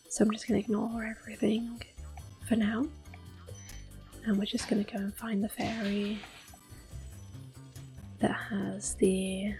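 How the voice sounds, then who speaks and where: Woman, hushed and breathy, medium pitch, ASMR gaming host, gentle unhurried delivery, music playing behind. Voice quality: soft, breathy, whispery. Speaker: gameplay video host. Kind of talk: soft-spoken ASMR game commentary with whispering.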